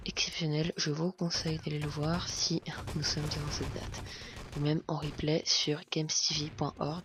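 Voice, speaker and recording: A man's voice: a little high, creaky. A man giving a casual, hesitant voice-over commentary on a game video, with many fillers and restarts. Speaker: gameplay commentator. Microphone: home voice recording, self-described as not the best setup. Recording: noisy recording with game gunfire behind. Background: game sounds and gunfire.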